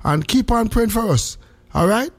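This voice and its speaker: High-pitched, sing-song Jamaican radio host. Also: male voice, lively intonation, moderate pace. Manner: sing-song, exuberant greetings. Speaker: gospel radio show host. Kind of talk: live call-in radio chat in Patois. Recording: faint music behind the voice. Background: music.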